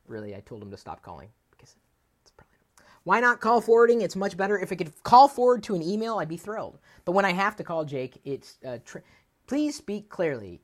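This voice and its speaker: A man hosting a live tech show with casual commentary, griping in a comically exasperated manner. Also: a little high. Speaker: host.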